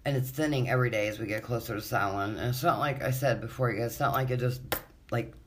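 A woman hosting her own podcast in casual, rambling chat, her voice raspy and wheezy from allergies, swearing freely. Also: extremely low pitch, fast talker, noisy background. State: congested from allergies. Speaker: podcast host.

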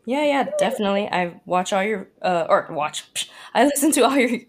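A woman's voice: mid-range voice, animated, brisk. A young woman from Hawaii, a cook, chatting as a podcast guest in a friendly, casual way, full of "like" and "uh". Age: young adult. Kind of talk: casual interview chat.

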